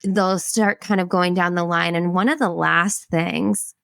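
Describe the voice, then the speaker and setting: Woman podcast host, low voice, gravelly fry, chatty, dry close mic. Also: fairly even intonation, a little slow, a bit bright, no room sound. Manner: chatty, circling questions. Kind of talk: casual podcast interview.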